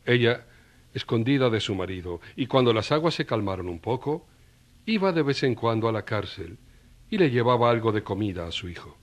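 A man's voice: mid-range voice, sing-song, brisk. A man narrating a dramatic story, conversationally addressing his listeners. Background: background music.

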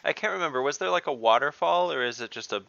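A man with a creaky, bright voice chats casually as a tabletop game player. The recording sounds like a dull online call. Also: fairly high pitch, expressive, fast. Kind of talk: casual chat. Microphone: video call.

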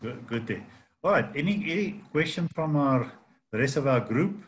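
A middle-aged man hosting a video call interview from a home office, asking questions in a relaxed, conversational way. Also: mid-range voice, normal speed. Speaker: host of virtual trade fair. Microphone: laptop mic on video call. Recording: video call with faint background noise.

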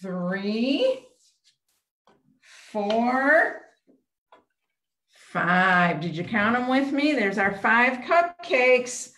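A woman's voice: a little high, expressive, extremely slow, long pauses, a bit bright. An older woman, a children's librarian, reading aloud and counting along in simple language aimed at young children over a video call. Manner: simple, child-directed, asks counting questions. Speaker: children's librarian hosting storytime. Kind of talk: children's storytime read-aloud and counting rhymes. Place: small room at home, indoors. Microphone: computer mic on a video call. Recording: roomy, echoey home video-call audio.

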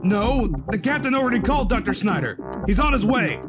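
A man's voice: sits high, animated, fairly quick. A man playing an agitated, stammering military official in a comic book dub. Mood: alarmed report. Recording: loud music behind the voice.

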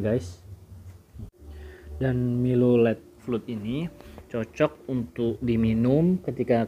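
A man narrating a casual home drink-making tutorial in a relaxed way. He keeps addressing viewers as "guys" and often lets his sentences trail off quietly. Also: mid-range voice, dull audio, slight background noise. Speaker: home cooking video host.

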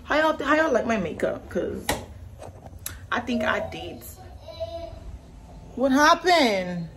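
A woman's voice: fairly high pitch, very animated, slow pace, a bit bright, a little hiss or hum. A young woman in her twenties, vlogging casually to her phone in a small bathroom at home, talking loosely and informally to her viewers as y'all. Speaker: vlogger, mother. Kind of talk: casual vlog chat to camera. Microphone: phone camera held close.

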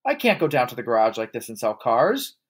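A man voicing a hot-tempered middle-aged father in a storybook, yelling indignantly. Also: medium pitch, wildly swooping pitch, a little fast. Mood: angry panic.

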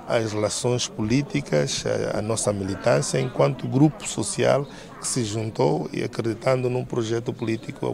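A man's voice: mid-range voice, vocal fry, a little mellow. A middle-aged man with a Mozambican accent, giving a press interview outdoors as a party member. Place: outdoors in a paved courtyard.

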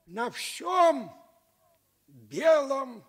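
A man playing a kindly, contented old village grandfather with a husky voice, in a Soviet radio fairy tale. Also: very high-pitched, big pitch swings, drawn-out words, pitched above the performer's own voice, exaggerated voice. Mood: blissful.

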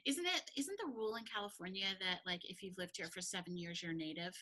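A middle-aged woman co-hosting a relaxed chat over a shared webcam at home. Her voice is bright and forward, and she laughs often and talks with lively energy. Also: lowish voice, fast talker. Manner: giggly, animated. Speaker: podcast co-host. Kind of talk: casual video-call chat. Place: home room, indoors. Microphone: video call, shared webcam.

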